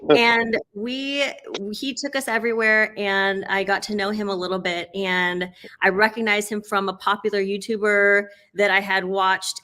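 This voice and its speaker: A woman leads a casual interview with a bright, forceful voice. She talks upbeat, laughs often and fills pauses with "uh" and "um". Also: mid-range voice. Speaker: host.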